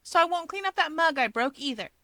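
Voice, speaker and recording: A woman's voice: high-pitched, lively intonation, quick pace, bright, forceful tone, no room sound, pitched above the performer's own voice, exaggerated voice. A woman voicing a cheeky, dramatic young mouse woman, playful, wordy and teasing, in a story reading. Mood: mock hurt.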